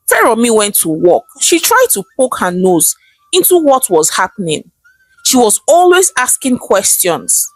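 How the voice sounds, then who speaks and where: A woman with a Nigerian accent narrating a scripted folktale in a dramatic, expressive storytelling manner. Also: on the high side, no echo, low-quality recording.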